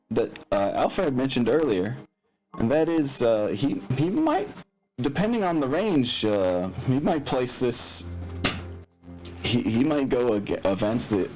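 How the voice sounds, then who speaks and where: Man, low voice, game master, halting rule explanations, faint music behind. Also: a little mellow, rough recording. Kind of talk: casual tabletop game talk, explaining rules.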